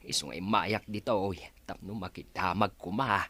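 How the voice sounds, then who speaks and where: A man's voice: low-pitched, fairly even intonation, normal speed, sharp tone, no echo. An actor playing a worried, humble old village father in a radio drama. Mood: worried, pleading.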